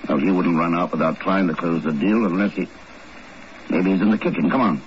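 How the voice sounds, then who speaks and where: An actor playing a suave, unflappable secret agent in a 1950s radio drama, speaking in a cool, dry, commanding way. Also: male voice, sits low, a little fast, close to an everyday voice. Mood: businesslike. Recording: noisy, muffled old radio recording.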